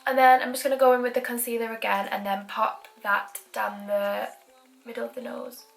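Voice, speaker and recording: A woman's voice: a little high, steady tone, moderate pace, creaky. Chatty young Mancunian woman. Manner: chatty, self-deprecating asides while working. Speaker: beauty vlogger. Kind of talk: chatty makeup tutorial.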